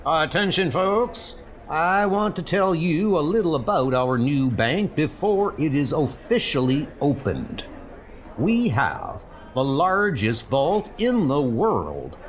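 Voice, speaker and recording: A man's voice: on the high side, sing-song, slow pace. A man voicing a self-important bank president with formal, speechifying delivery. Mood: proud.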